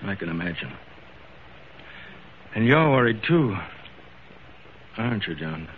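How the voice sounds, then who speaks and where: A man's voice: on the low side, big pitch swings, unhurried. An actor playing a proud ship owner who is losing his sight and hides it, hesitant and stammering, in old-time radio drama style. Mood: bitter, subdued. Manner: hesitant, stammering, self-deprecating. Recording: tinny, noisy old radio broadcast.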